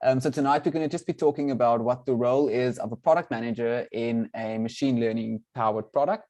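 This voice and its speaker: A young man whose first language is Afrikaans hosts an online community event over a video call. His voice is warm and mellow, sitting at an ordinary middle pitch. He talks in a friendly, informal way, often padding with "um" and restarting his phrases. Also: fairly quick. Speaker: event host, product manager. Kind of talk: webinar introduction and audience poll.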